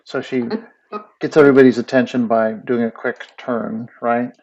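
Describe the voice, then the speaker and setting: A man with a gravelly, mid-range voice walks through animation steps in a relaxed, thinking-aloud way. He sounds like a tutor at his desk. The mic is slightly dull and lo-fi. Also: medium pitch, moderate pace. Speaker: animation instructor. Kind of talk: screen-recorded software tutorial.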